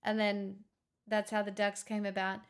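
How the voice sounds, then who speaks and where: A woman's voice: mid-range voice, monotone, moderate pace, clear, resonant tone. A woman livestreamer chatting casually with her viewers, playful, teasing and self-mocking. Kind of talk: casual stream chat with viewers.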